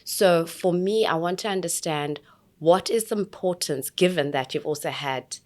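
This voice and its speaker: A woman interviewer and coach asking a guest about business in a conversational, lively way. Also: on the low side, normal speed. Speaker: interviewer, coach at entrepreneurship centre.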